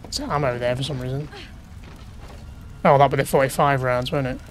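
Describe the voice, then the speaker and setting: A man's voice: medium pitch, steady tone, normal speed, a little hiss or hum. Man musing through a game. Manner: chatty, muttering questions to himself while exploring. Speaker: gaming YouTuber. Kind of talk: casual let's play commentary.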